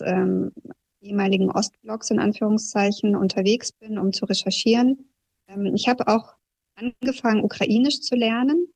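A woman's voice: mid-range voice, darkish tone, husky. Reflective woman recounting her story. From Kazakhstan, raised in southwest Germany. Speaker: journalist. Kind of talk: personal account in an interview.

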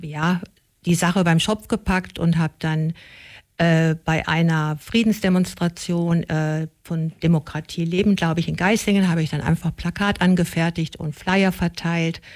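A woman's voice: fairly low pitch, steady tone, darkish tone. Woman in her sixties, hesitant and chatty. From Germany. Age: 60s. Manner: hesitant, many pauses and fillers. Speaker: group founder. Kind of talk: casual interview talk.

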